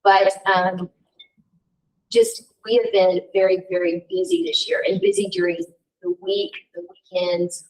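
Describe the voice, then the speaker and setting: Lower-voiced woman, slightly hoarse, far off. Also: fairly even intonation, a little slow, darkish tone. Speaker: hotel manager. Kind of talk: informal update. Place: meeting room. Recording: distant room mic at a meeting.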